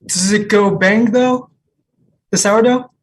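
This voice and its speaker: Woman playing husky, slow-talking café customer Noah. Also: mid-range voice, poor audio. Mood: earnest. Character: eager, awkward male café customer, Noah. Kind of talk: comedy script table read.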